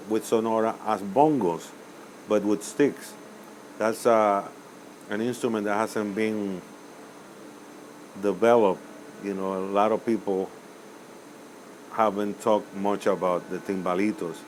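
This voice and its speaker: An older man from Cuba, a musician answering interview questions in a rambling, hesitant way with many pauses and self-corrections. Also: lowish voice, darkish tone, a little hiss or hum, poor audio. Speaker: musician guest.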